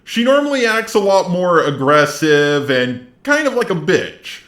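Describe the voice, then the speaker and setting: A man's voice: high voice, sing-song, unhurried, fairly bright tone. A man giving informal review commentary with wry, joking asides. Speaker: video reviewer. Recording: roomy, echoey sound.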